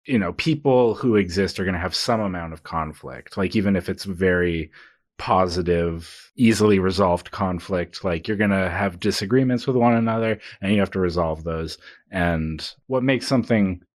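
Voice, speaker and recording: Low-voiced male podcast co-host. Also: moderate pace. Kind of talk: conversational podcast discussion.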